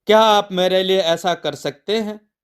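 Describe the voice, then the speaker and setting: A man voicing a courteous queen making a polite, gentle request in a mythological story. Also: high-pitched, steady tone, measured pace, clean tone. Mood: wishful.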